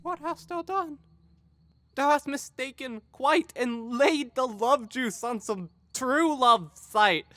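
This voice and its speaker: A woman playing a scheming, commanding fairy monarch in a Shakespeare audio drama, speaking verse imperiously and deliberately. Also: sits high, fairly bright tone, no room sound. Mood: stern, commanding.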